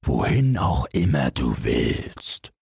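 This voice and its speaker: A man voicing a big, gullible, cowardly three-headed giant in a storybook, slow, ponderous and plaintive. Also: a bass voice, huge pitch swings, vocal fry, darkish tone, slightly rough, no room sound, studio quality, voice pushed down, exaggerated voice. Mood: reluctant, grave. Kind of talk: storybook character voice.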